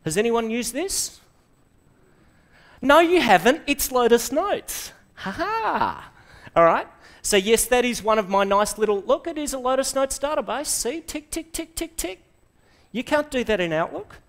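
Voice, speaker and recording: A middle-aged man with an Australian accent giving an enthusiastic software presentation to a live audience, quizzing the room and dropping quick asides. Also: extremely high pitch, sing-song. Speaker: conference presenter. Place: lecture hall with seated audience.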